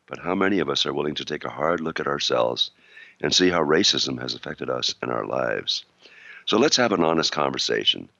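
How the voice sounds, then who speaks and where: A man co-hosting an internet talk radio show, reading a scripted introduction with a sore throat that makes his voice gravelly and deep. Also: lively intonation, a little fast, sharp tone, no room sound, highs cut off.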